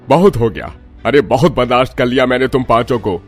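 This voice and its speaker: Casting: male actor as stern old family patriarch for a cartoon, husky, scolding, mid-pitched. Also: brisk, faint music behind, lightly acted. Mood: angry, domineering. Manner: scolding, domineering. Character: harsh older patriarch father-in-law throwing out his daughters-in-law. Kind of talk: cartoon drama acting.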